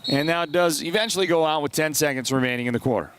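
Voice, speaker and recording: A man with a congested, worn-down voice calls a basketball game play by play, wandering into chatty asides between plays. His voice sits a little high for a man. Faint gym sounds and bouncing balls come through behind him. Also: very fast, a bit bright, slight background noise. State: congested, voice partly lost. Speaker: play-by-play announcer.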